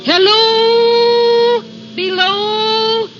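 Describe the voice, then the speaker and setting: Dull vintage radio sound carries a young woman magazine writer. Her voice is high and articulate. She is curious and self-possessed, in the theatrical style of classic radio drama, with music behind. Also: steady tone, very slow, fairly bright tone, full character voice. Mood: calling out. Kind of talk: old-time radio drama acting, narration and dialogue.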